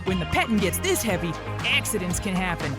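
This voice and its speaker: Radio ad spot: actress as bawdy, larger-than-life zoo saleswoman, lively high voice, clear music bed. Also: a little fast, natural voice. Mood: bawdy, upbeat. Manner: suggestive, hammy salesmanship. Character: brash, bawdy plus-size woman advertising her petting zoo. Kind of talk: cheeky radio commercial pitch.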